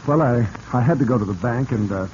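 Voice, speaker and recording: A noisy, dull old radio recording carries a scheming male blackmailer played by an actor. He sits at a medium pitch and speaks smoothly and insinuatingly. His manner is commanding, in the style of 1940s radio drama. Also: quick pace, a little mellow, lightly acted. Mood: falsely casual. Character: scheming male blackmailer, smooth, menacing, falsely sweet to women.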